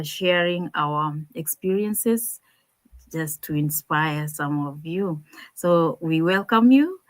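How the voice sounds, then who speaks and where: A woman's voice: deep voice, no echo. A woman with a Kenyan accent co-presenting an informal live webinar.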